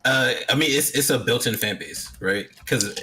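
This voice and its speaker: A man co-hosting a loose, chatty show, his voice bright and forward. He tumbles through his points with fillers and false starts, landing at a middling pitch. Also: breathy edge. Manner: fast, filler-heavy, stumbling restarts. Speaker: co-host. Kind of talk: casual chat.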